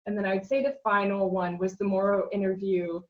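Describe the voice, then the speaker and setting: A woman talks at an ordinary pitch as a podcast guest, telling a long story earnestly. She rambles with "um" and "you know" along the way. The recording sounds a little dull and lo-fi. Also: little pitch movement, fairly quick. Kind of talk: casual interview chat.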